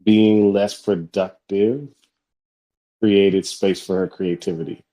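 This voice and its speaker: A man with a deep voice, co-hosting a casual support group chat in an affirming, easygoing way. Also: fairly even intonation, slow, mellow. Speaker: co-host.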